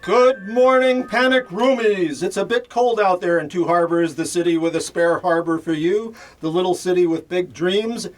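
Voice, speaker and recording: A man's voice: high voice, normal speed, hardly any pauses, soft background music, close to an everyday voice, low-quality recording. A man playing a brash, chummy small-town morning radio shock jock with showy, self-promoting patter. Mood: upbeat, showy.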